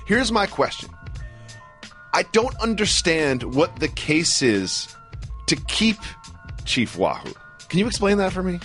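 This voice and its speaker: Animated, emphatic American man co-hosting. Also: high-pitched, unhurried, pauses often, faint music behind. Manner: emphatic, repeats himself, peppers co-host with questions. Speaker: sports talk show co-host. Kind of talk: casual sports radio banter.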